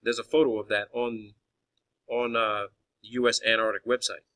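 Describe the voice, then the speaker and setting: Insistent man with a very bright, forceful voice. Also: lowish voice, level tone, moderate pace. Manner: insistent, repeats and restarts phrases. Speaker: caller questioning a space agency official. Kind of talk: argumentative phone conversation.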